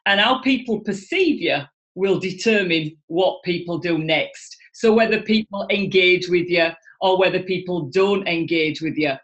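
A middle-aged woman with a Yorkshire accent, speaking as a guest in an enthusiastic, expressive way over a video call from home. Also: lowish voice, measured pace, hardly any pauses. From Yorkshire, England. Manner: enthusiastic, expressive, gestures a lot. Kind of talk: animated motivational talk. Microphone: video call webcam.

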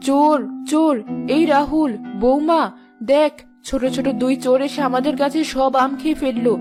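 A woman's voice: high voice, little pitch movement, moderate pace, close to an everyday voice. A woman voicing a bossy, greedy village mother-in-law who scolds and accuses in a children's cartoon. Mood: angry, accusing. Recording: music behind the voice.

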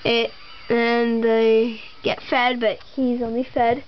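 Young girl, slow and rambling, a bit high, dull home-camera sound, faint hiss. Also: level tone. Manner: slow, rambling, with many ums and pauses. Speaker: toy barn tour guide. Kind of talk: casual home video narration. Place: bedroom at home. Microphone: handheld camera mic.

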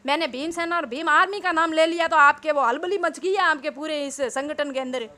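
A woman with a Rajasthani accent argues on the phone in a very high, sharp voice. She is combative and insistent, and keeps repeating her phrases to push through interruptions. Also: fairly quick. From Jalore, Rajasthan, India. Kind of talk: heated phone argument.

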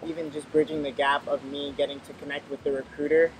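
A young man, an engineering student, answering interview questions in a relaxed way at an outdoor campus table. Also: fairly high pitch, fast talker, a little hiss or hum, rough recording. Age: young adult.

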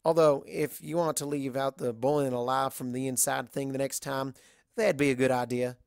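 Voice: mid-range voice, little pitch movement, normal speed, vocal fry, darkish tone, smooth, no room sound, clean studio sound, lightly acted. A narrator voicing a frank, pushy but affectionate mare pony with a blunt, folksy, coaxing manner in a storybook reading. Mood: frank, encouraging.

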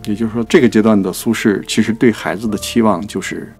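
A man's voice: fairly low pitch, fairly even intonation, quick pace, a little mellow. Reflective man chatting about literature over music. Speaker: producer and co-host of a poetry programme. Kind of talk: conversational literary discussion.

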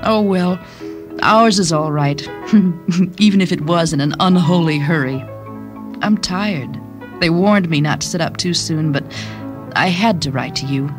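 A woman plays a young new mother in a radio short story. She is chatty and loving, with a wry edge, as if writing to her husband. Soft music runs behind her. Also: low-pitched, a little slow, pauses often. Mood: wry, affectionate. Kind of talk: dramatized short-story letter reading. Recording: studio reading over background music.

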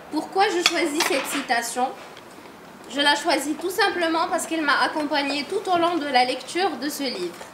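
A woman in her forties reading a prepared literary review aloud from her phone in a measured way, in a salon full of listeners. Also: sits high, a bit bright, echoey room, slight background noise. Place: large salon room with audience.

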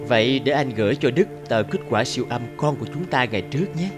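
An actor voicing a manipulative young ex-boyfriend in an animated drama, insinuating and coaxing with veiled threat. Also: male voice, mid-range voice, fast, over music, lightly acted. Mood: smug, threatening. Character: smug, manipulative blackmailing ex-boyfriend, young man.

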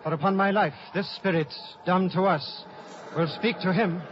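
An actor playing an earnest, loyal scholar in measured, solemn 1950s radio Shakespeare. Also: male voice, high voice, steady tone, lightly acted. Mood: awed. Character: Horatio, earnest loyal scholar recounting a tragedy. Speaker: narrator. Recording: muffled 1950s radio broadcast.

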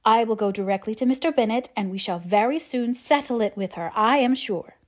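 A woman's voice: on the high side, brisk, natural voice. A woman voicing a flustered, pleading middle-aged mother in an audiobook, agitated, exclamatory and insistent. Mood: alarmed. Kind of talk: audiobook character acting.

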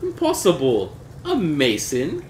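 A man voicing a drunken, proud nobleman in a gothic storybook, loud and exclamatory. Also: on the high side, wildly swooping pitch, slow, roomy sound, a little hiss or hum, voice pushed up, exaggerated voice. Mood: incredulous, excited. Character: drunken, proud, coughing Italian nobleman in jester motley. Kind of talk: dramatic gothic audiobook reading.

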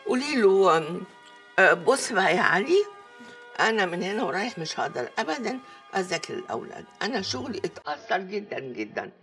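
Older Egyptian auntie, TV comedy, bossy, cunning, theatrical drawl, fry in the voice, animated melody. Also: female voice, mid-range voice, fast, faint music behind. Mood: mocking, instructive. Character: bossy, theatrical older aunt giving cunning marital advice. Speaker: actor in a TV comedy series. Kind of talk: comic TV drama dialogue. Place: living room set, indoors.

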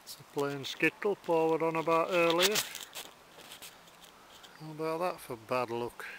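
A man with a Yorkshire accent narrates his countryside dig into a close camera mic, wry and understated. His voice sits a little high for a man. Plenty of outdoor noise comes through around him. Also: measured pace. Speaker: bottle digger filming his dig. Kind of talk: casual commentary while digging. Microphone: handheld or worn camera close to face.

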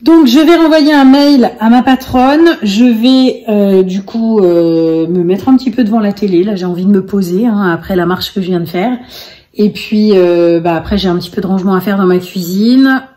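A woman in her thirties chatting casually into her phone at home for a daily family vlog, rambling with many fillers, her voice a little hoarse. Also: mid-range voice, normal speed, dark tone. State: slightly hoarse. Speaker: vlogger, single mother of five. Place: home, living room and kitchen, indoors. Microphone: phone held at arm's length.

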